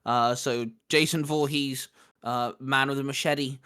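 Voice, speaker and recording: A man hosting a casual fan discussion, relaxed and steering the talk through a ranked list. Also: mid-range voice, unhurried, clear, resonant tone. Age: adult. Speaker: host leading the discussion.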